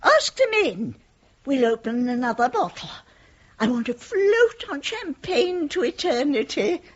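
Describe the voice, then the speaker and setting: A woman's voice: high-pitched, very animated, a little slow. An actress playing a genteel, girlish, effusive woman of eighty-five with a British accent in a radio play. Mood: giddy, happy. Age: 80s. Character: frail, genteel, giddy eighty-five-year-old widowed lady. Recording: dull lo-fi radio recording.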